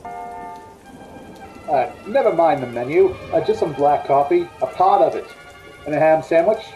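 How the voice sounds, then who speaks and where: A man playing a hesitant, evasive doctor with halting speech in an old-time radio drama. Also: fairly high pitch, wildly swooping pitch, soft background music. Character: hesitant, evasive male doctor, secretly sinister. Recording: dull sound with faint noise. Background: rain.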